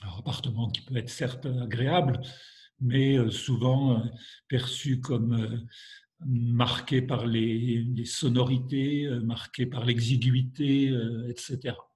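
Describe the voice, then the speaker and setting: A French man delivers a lecture at a steady, deliberate pace, pausing often to search for his words. He has a head cold and sounds a little stuffy. His voice sits in an ordinary mid range. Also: mid-range voice. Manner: measured, hesitant with frequent fillers. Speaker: university lecturer. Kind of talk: academic lecture.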